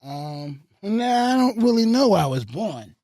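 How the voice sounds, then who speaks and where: Animated man telling stories on a podcast. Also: sits high, unhurried. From Bronx, New York and Fayetteville, North Carolina. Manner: animated storyteller with a lot of swearing. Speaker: podcast guest, radio host and producer. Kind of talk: casual podcast storytelling.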